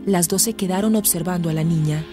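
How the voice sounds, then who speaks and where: A woman's voice: on the low side, fast talker, warm tone, close to an everyday voice. A woman narrating a serious radio soap opera from a script. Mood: serious storytelling. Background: music.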